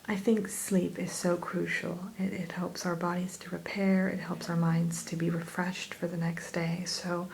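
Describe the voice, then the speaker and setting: A woman playing a kind, reassuring therapist in a whispered ASMR roleplay, speaking gently and attentively without hurry. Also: fairly low pitch, little pitch movement, vocal fry, a little hiss or hum, low-quality recording. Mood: soothing, reassuring. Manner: soothing, gentle, attentive, unhurried. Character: kind, reassuring female therapist welcoming a new patient.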